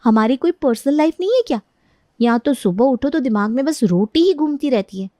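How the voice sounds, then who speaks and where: A woman voicing a feisty, argumentative young daughter-in-law in an animated family story, lecturing in an exasperated manner. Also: high voice, fairly quick, no echo, clean studio sound.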